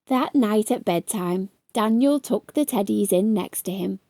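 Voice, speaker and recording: A woman reading a children's bedtime story aloud with expressive, careful phrasing. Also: medium pitch, a little slow, dry recording, clean studio sound.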